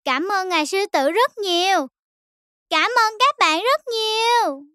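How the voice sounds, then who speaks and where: A woman's voice: very high-pitched, expressive, a little slow, sharp tone, no echo, studio quality, full character voice. A woman voicing a small, sweet, grateful baby shark in a children's cartoon, polite and exclaiming.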